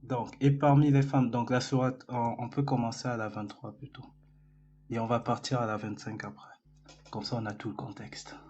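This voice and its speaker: Man, medium pitch, casual debate, reading and explaining, slightly dull recording. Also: flat delivery, moderate pace, dark tone, faint music behind. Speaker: debate participant.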